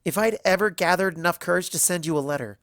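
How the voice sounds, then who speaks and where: A narrator voicing an indignant young female unicorn student in a story, sardonic and accusing, with sharp, cutting questions. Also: medium pitch, fast, dry recording, clean studio sound, voice pushed up, lightly acted. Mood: bitter, hurt.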